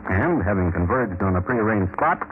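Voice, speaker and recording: Man as droll, low-voiced old radio crime host. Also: slight background noise, lightly acted. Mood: solemn. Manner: deadpan, wry, measured. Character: Thomas Hyland, dry, droll male connoisseur of crime. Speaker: host and narrator. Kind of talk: 1950s radio crime drama narration. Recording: muffled vintage radio recording, music behind.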